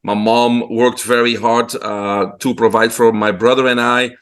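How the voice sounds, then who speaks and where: A man in his fifties with a Belgian accent speaks as an interview guest. He tells his background in a relaxed, storytelling way, his pitch sitting in the middle range. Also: unhurried, smooth. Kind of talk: casual interview.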